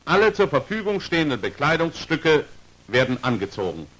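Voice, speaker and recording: A male narrator with a fairly high, animated voice delivers scripted 1940s instructional film commentary. His is formal and precisely articulated, with pitch that moves widely from phrase to phrase. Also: normal speed, poor audio. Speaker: narrator of a military training film.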